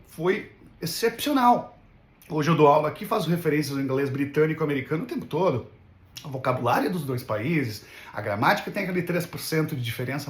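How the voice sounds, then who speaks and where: A man speaks in a slightly high voice, recorded in a noticeably echoey room. He talks off the cuff like a teacher on his vlog, rambling along conversationally and often tagging his phrases with small questions. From Brazil. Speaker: English teacher. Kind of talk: casual unscripted vlog talk. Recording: roomy, echoing room sound.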